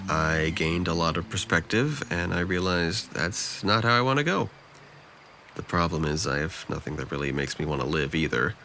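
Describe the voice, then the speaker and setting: A man acting a depressed, sardonic young man in an audio drama, with a gravelly voice and a dry, hesitant, self-deprecating manner. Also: low voice, lively intonation, normal speed, background noise. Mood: low, confessional. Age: young adult.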